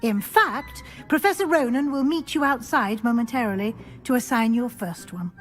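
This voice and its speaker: A woman playing a brisk, watchful professor at a wizard school in a video game, speaking formally and matter-of-factly. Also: fairly high pitch, a bit bright, soft background music, natural voice. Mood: brisk, probing. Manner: formal, crisp, matter-of-fact. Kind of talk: scripted video game acting.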